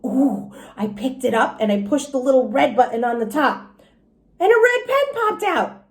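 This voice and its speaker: A woman reading a children's storybook as an excitable, stubborn little girl, with an emphatic, childlike way of talking. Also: sits high, normal speed. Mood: excited, delighted. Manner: childlike grammar, emphatic, self-justifying. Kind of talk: children's storybook read aloud.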